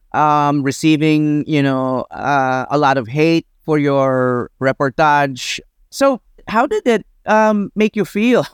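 A man with a Filipino accent hosting an interview, putting his questions in a conversational, halting way. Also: on the high side, clear, resonant tone, dry recording, studio quality. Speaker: interviewer, podcast host.

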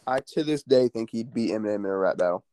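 A man's voice: fairly low pitch, animated, fast talker, mellow, clean tone, no echo, clean studio sound. A young man in a laid-back chat with friends, laughing along with the banter. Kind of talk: casual chat among friends.

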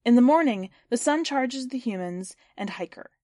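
A woman's voice: a little high, expressive, moderate pace, mellow, no echo. Woman reading fiction, dry close sound. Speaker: podcast host and story reader. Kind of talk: scripted story narration and podcast hosting.

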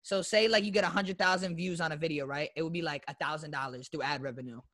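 A man's voice: a little high, steady tone, fast, bright, forceful tone, no echo, studio quality. A young man from Florida, a guest YouTuber, talking in a loose, slangy way with lots of fillers. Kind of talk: casual interview chat.

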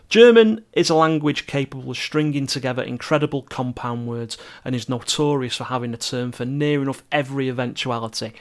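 A middle-aged man hosting a travel podcast, reading scripted narration with chatty asides in a wry, self-deprecating manner. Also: medium pitch. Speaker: podcast host and travel writer.

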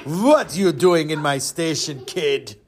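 Storyteller putting on a slow, booming villainous giant man. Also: animated, slightly rough. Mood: menacing. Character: huge menacing, boisterous male station villain.

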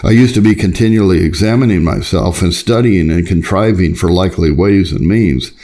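A man narrating an old devotional text as a scripted reading, in a measured, earnest and formal manner. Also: deep voice, dark tone. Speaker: audiobook narrator.

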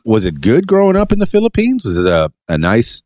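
A man's voice: medium pitch, wildly swooping pitch, fairly quick, a little mellow, no echo, studio quality. A man from Miami hosting a podcast, asking rambling, playful questions with many stammers and restarts. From Miami, USA. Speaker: podcast host. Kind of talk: casual interview.

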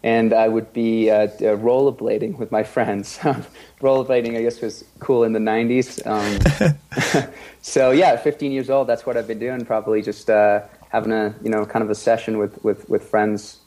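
A man from France with a French accent, a guest answering interview questions in a relaxed, hesitant way. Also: fairly low pitch, normal speed. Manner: relaxed, hesitant, laughs at himself. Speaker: guest, ultra runner.

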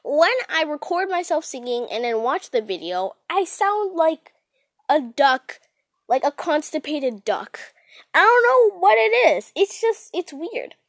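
A young woman with a high, swooping voice rambles casually into a close, dry recording. She is giggly and self-deprecating, stressing words dramatically like an amateur podcast host talking to listeners. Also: a little slow, no echo. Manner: animated, dramatic emphasis. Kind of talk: casual rambling solo chat.

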